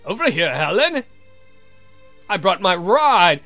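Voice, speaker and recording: A man's voice: sits high, big pitch swings, slow pace, sharp tone, dry recording, soft background music, heavily acted. A man voicing a clumsy, flirtatious vampire count from a game, grumbling and swearing theatrically. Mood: suave flirting.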